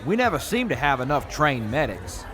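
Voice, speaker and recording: An actor playing a weary, measured male militia major in an audio drama. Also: medium pitch, big pitch swings, a crowd in the background, lightly acted. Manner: measured, rueful.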